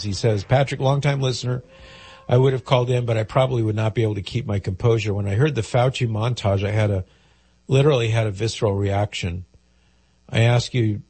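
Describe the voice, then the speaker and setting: Husky, nasal man hosting call-in radio. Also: on the low side, darkish tone, dry recording. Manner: conversational, explains at length with fillers. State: head cold, a little nasal.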